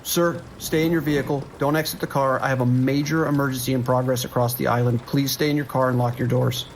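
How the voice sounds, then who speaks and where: A man's voice: mid-range voice, level tone, fast talker, slight background noise, close to an everyday voice. A man playing a desperate police dispatcher under siege in a horror audio drama, talking in urgent, clipped, commanding emergency-radio style.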